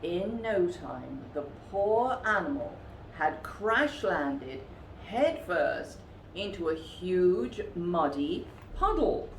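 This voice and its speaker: A woman storyteller narrating a children's picture book in a dramatic, measured, expressive way. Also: on the low side, vocal fry, reverberant, slight background noise, low-quality recording.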